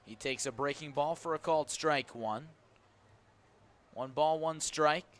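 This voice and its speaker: A man calling a baseball game play by play for radio, steady and brisk as he tracks each pitch. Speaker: play-by-play announcer.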